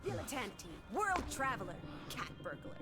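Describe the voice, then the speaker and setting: High-pitched, teasing 1920s American girl. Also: very animated, moderate pace, loud music behind the voice. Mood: mocking. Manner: teasing, jaunty, old-fashioned slang. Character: playful, teasing young American woman of the 1920s. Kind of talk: video game voice acting.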